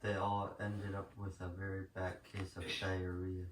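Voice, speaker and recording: A man's voice: low-pitched, completely flat delivery, moderate pace. A man reading an elder's life story aloud as a narrator. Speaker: reader at a school story sharing. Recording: echoey room, distant mic, faint noise.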